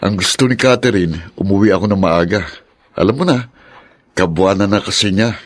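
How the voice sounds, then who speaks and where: An actor in a radio drama playing a fretful husband in a hurry to get home. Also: male voice, lowish voice, lightly acted. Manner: hurried, fretful. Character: anxious husband expecting a baby.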